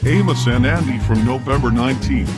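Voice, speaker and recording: A man with a mid-pitched voice hosts in a scripted radio style. Loud guitar music plays behind him throughout. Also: a little fast. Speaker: program host.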